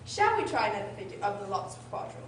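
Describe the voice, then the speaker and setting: A woman playing a brisk, bossy, know-it-all old gryphon who instructs others in a radio play. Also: mid-range voice, very animated, natural voice. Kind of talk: amateur radio drama acting. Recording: echoey room, distant mic, faint noise.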